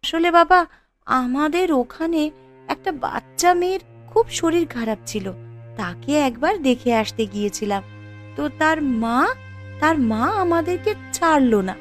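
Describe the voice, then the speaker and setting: A woman voicing a tender-hearted young village woman in a cartoon family drama, with earnest, emotive, drawn-out delivery. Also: high-pitched, lively intonation. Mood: warm, respectful. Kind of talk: melodramatic cartoon voice acting. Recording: music behind the voice.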